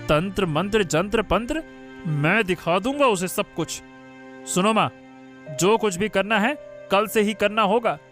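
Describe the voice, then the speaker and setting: A man's voice: high-pitched, animated, measured pace, fairly bright tone, soft background music. A man playing an arrogant, sneering grown son who plots in a cartoon family drama. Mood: contemptuous. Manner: sneering, contemptuous. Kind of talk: Hindi cartoon moral-story dubbing.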